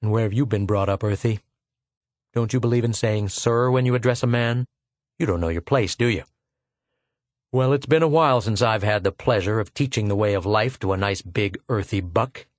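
A man's voice: on the low side, moderate pace, no room sound, close to an everyday voice. A man playing a harsh, scornful imperial lieutenant. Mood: menacing. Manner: harsh, contemptuous, curt.

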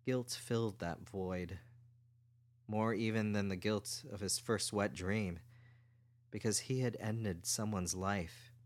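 A man's voice: on the low side, slow. A man reading fiction aloud as a scripted story narrator. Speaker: audiobook narrator.

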